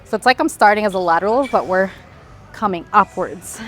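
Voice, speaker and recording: A woman's voice: mid-range voice, fairly quick. Breathless woman coaching mid-workout. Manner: talks through exercise with effortful sighs and exhales. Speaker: fitness vlogger. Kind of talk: casual workout instruction. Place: gym.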